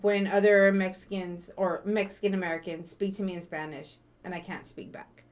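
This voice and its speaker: A woman's voice: on the low side, little pitch movement, normal speed. A young woman hosting a casual, playful interview and teasing her guest. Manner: playful, teasing, laughs often. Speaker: host. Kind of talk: casual tipsy interview chat.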